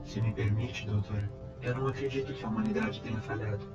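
A man playing a calm, courteous robot time traveler in an audio drama, speaking in formal, measured reports. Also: fairly low pitch, close to an everyday voice. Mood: gently consoling. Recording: echoey, dull amateur sound, music behind.

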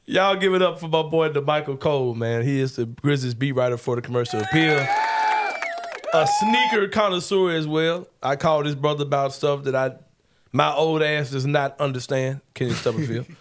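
A man's voice: a little high, moderate pace, heavy background noise. A man in his forties hosts a casual sports talk show. He talks in a laid-back way, full of slang and teasing. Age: 40s. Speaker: podcast host.

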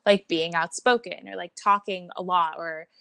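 A woman's voice: lowish voice, steady tone, normal speed, vocal fry, a bit bright, clear, resonant tone, dry recording. A young woman co-hosting a podcast, chatting casually in a breezy way full of "like". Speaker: podcast co-host. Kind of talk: casual chat.

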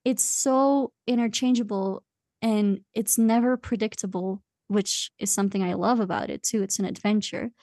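A woman's voice: medium pitch, steady tone, normal speed, dark tone, no echo, clean studio sound. A woman in her late twenties from Belgium, chatting casually as an interview guest and laughing often. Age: late 20s.

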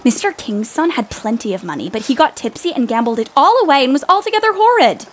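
Casting: woman as blunt tomboyish young woman, period audio play, very animated high voice, emphatic delivery. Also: fast talker. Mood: earnest. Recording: noticeable background noise.

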